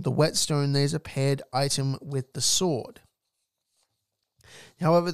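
Male podcast host, emphatic, direct asides to listener, slightly high voice, smooth clear tone, dry close mic. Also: dry recording. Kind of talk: solo podcast narration on folklore.

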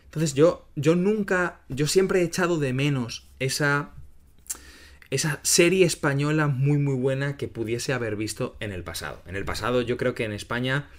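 A middle-aged man from Spain talks through a podcast conversation in an easygoing, explanatory way. His voice sits at an ordinary pitch, and he keeps checking in with his listener as he goes. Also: moderate pace. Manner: explains terms clearly, often asks "¿no?". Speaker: podcast host and Spanish teacher.

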